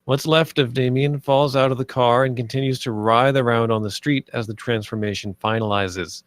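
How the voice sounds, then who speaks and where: Male narrator for screenplay directions, medium pitch, close dry sound, scripted delivery. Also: steady tone, moderate pace, dry recording. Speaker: narrator reading stage directions.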